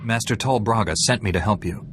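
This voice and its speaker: Game hero: stern, blunt warrior knight voiced by a man, lowish pitch, curt delivery. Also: fairly quick, fairly bright tone, slight background noise, close to an everyday voice. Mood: stern, dismissive. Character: serious, blunt male Jedi warrior in a space saga. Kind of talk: video game dialogue acting.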